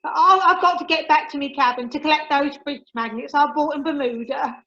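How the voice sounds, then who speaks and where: Woman playing very high, excitable cruise passenger. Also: fairly quick. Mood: panicked. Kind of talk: amateur radio drama dialogue. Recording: dull, lo-fi video call.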